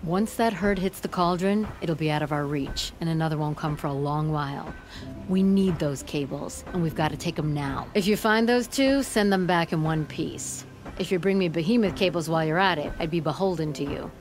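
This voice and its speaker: Woman playing a low-voiced, tough forge leader. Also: brisk, darkish tone, natural voice. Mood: firm, earnest. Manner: firm, blunt, folksy. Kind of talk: video game voice acting. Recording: game music behind the voice.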